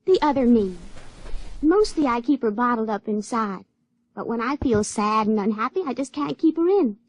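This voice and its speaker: High-voiced, naive backwoods girl. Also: expressive, moderate pace, a little mellow, dry recording. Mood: earnest. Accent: rural Southern American. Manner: folksy, guileless. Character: naive, wilful backwoods girl, plain-spoken. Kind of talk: radio drama acting. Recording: dull, dry vintage radio recording.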